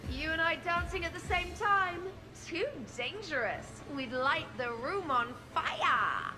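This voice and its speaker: A woman's voice: extremely high pitch, animated, slow, over music, full character voice. An actress playing an exuberant, teasing young female crewmate in a video game.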